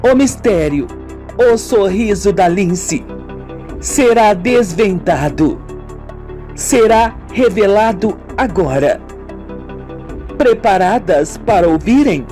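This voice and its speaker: A woman acting a slow, wounded Brazilian woman confessing. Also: mid-range voice, lively intonation, warm tone. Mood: ominous, solemn. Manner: slow, melodramatic, deliberate storytelling. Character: Simone, wounded woman confessing her painful childhood, slow and brooding. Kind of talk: amateur radio soap acting. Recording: music bed under the voice.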